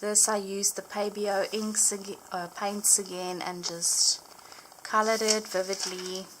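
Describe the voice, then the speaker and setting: A woman's voice: mid-range voice, little pitch movement, slow pace, background noise. Chatty woman, Afrikaans native speaker. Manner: chatty, filler-heavy, gently enthusiastic. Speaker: Bible journaling vlogger. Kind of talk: casual show-and-tell narration. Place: indoors at a table, hands over an open book.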